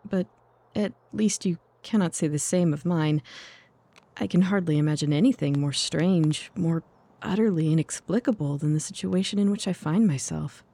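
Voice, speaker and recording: Woman acting a refined young lady, soft husky voice. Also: deep voice, dry recording. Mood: composed, earnest. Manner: formal, measured, earnest. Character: composed but anxious young Victorian lady client, refined. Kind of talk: audio drama acting.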